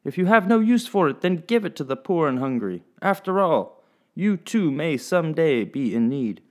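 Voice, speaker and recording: Man doing a storybook sea captain, earnest, declaiming, raised high and loud. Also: sing-song, moderate pace. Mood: pleading. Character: earnest grown sea captain, proud and joyous.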